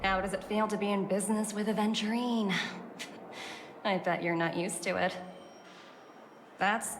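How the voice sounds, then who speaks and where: A woman voicing a poised, brisk young corporate executive in a video game, composed and businesslike. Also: medium pitch, steady tone, background noise, close to an everyday voice. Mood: measured. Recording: background music under the voice.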